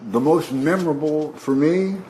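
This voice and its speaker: A man from the Washington DC area in his sixties, a veteran radio broadcaster telling stories in a relaxed interview, often restarting his sentences. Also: a little high, normal speed, a little hiss or hum, poor audio. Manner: warm reminiscing, frequent restarts and soft laughs.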